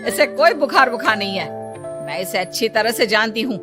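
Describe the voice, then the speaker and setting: A woman's voice: on the high side, animated, brisk, natural voice. A woman voicing a bossy, scheming, wealthy mother-in-law in a cartoon story, with a haughty manner. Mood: stern, scolding. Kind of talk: Hindi cartoon story dialogue. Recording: music behind the voice.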